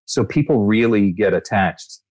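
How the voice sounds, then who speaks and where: Low-voiced man, animated storyteller. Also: measured pace, dry recording. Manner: animated, expansive anecdotes with restarts. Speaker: voice actor guest. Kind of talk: interview answers, storytelling.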